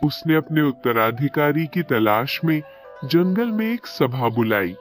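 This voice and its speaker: A man narrating a scripted animated animal story as a storyteller. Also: fairly high pitch, measured pace, soft background music.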